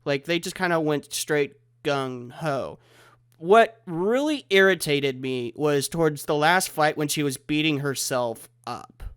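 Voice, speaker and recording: Congested man with a higher voice. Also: measured pace, fairly bright tone, smooth. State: congested from a head cold. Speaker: podcast host. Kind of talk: casual podcast chat. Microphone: remote recording over the internet.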